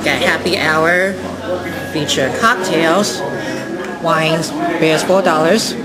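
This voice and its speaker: A woman with a low voice walks through a restaurant meal on camera, listing each ingredient in an unhurried, casual way. She records on a handheld camera at her table. Loud crowd chatter fills the dining room behind her. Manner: slow, describing each dish item by item. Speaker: food vlogger. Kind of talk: casual restaurant food vlog narration.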